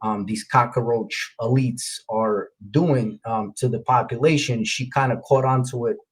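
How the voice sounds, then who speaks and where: A man's voice: medium pitch, steady tone, measured pace, slightly rough, poor audio. A man from Ukraine hosting a podcast, talking in a rambling, casual way with many fillers and restarts. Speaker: podcast host. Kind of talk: casual interview chat.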